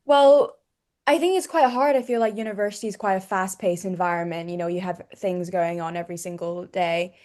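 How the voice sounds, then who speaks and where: A woman's voice: fairly low pitch, steady tone, brisk, darkish tone. A young woman student from Hong Kong, giving an earnest personal faith testimony with fillers like "you know.". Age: young adult.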